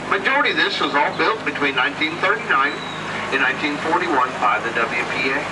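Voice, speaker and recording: An older man with an upstate New York accent narrates a river boat tour, folksy and fond of little jokes. His voice is caught from some distance away by a camera among the passengers. Loud outdoor noise runs underneath. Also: a little high. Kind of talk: tour guide commentary. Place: open-air tour boat on a city river.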